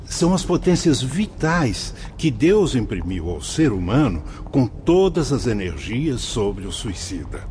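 A Brazilian man playing an earnest, kindly spirit guide in a radio drama, speaking in a formal, measured, instructive way. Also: medium pitch, very animated, darkish tone, a little hiss or hum. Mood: grave, reassuring. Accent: Brazilian Portuguese.

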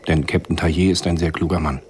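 An actor playing the grave narrator of a radio spy thriller, telling the story in a sober, measured way. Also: male voice, low voice, steady tone, darkish tone, a little hiss or hum, natural voice. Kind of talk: scripted literary narration in a radio play.

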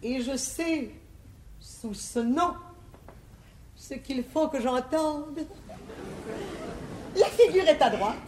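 An actress playing a vain, coquettish older spinster aunt in a classical verse comedy, with grand, affected 1950s stage declamation. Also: female voice, high voice, expressive, very slow, long pauses, darkish tone, a faint crowd, full character voice. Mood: coy, knowing. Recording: echoey, hissy 1950s theatre recording.

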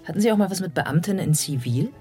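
An actress playing an icy, sardonic chief detective in a radio drama, deadpan and clipped. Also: female voice, fairly low pitch, fast, soft background music, close to an everyday voice. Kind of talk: German radio drama acting.

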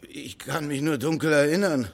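A man's voice: on the high side, moderate pace, gravelly. An actor playing a cocky, evasive small-town tough guy in a radio drama, with a wry manner.

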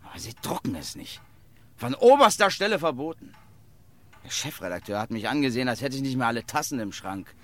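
A man acting a bitter, animated veteran reporter. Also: mid-range voice, fairly quick, natural voice. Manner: muttering, then indignant. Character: bitter, grumbling war-veteran newspaper reporter. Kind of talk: radio drama acting.

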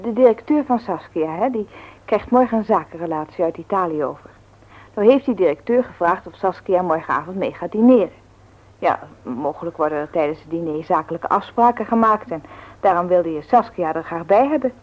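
A friendly young woman in a radio play, chatty and eager. Her pitch moves up and down with great liveliness as she talks to her guests. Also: expressive, a little fast, lo-fi sound. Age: young adult. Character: lively sociable young woman receiving visitors at home. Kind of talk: Dutch radio play acting.

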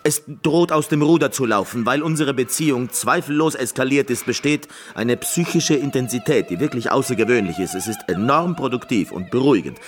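An actor playing a neurotic, fragile, obsessive concert pianist in a radio play, with a halting, fussy manner. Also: male voice, medium pitch, animated, faint music behind, lightly acted. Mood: anxious, earnest. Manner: halting, stammering, fussy, self-absorbed.

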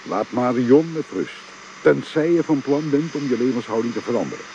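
A man's voice: mid-range voice, animated, moderate pace, dark tone, a little hiss or hum, close to an everyday voice, low-quality recording. An actor playing an earnest, protective middle-aged father in a radio drama, speaking in a solemn, paternal way. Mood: earnest, urging.

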